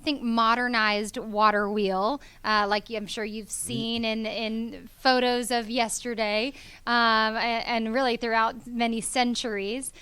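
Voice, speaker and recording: A woman's voice: fairly high pitch, pushed and bright, clear, resonant tone, no echo. A young woman from Georgia in the US, a company founder speaking earnestly as an interview guest, with many ums and you knows. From Georgia, USA. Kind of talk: business interview.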